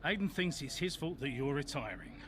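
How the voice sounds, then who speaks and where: An actor playing a firm, no-nonsense racing team boss in a video game, terse and commanding. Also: male voice, fairly high pitch, lively intonation, a little fast, noisy background, natural voice. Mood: stern. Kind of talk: cinematic video game dialogue.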